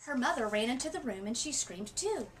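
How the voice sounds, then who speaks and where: Woman reading a storybook very expressively. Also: fairly high pitch. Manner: expressive, dramatic pauses and emphasis. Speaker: literacy coach reading aloud. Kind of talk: children's storybook read-aloud narration.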